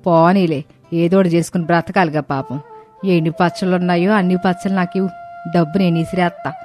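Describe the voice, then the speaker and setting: A woman's voice: fairly low pitch, steady tone, a little fast, a little mellow, faint music behind, lightly acted. Woman acting a haughty, scornful mother-in-law. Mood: mocking pity. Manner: sarcastic, condescending.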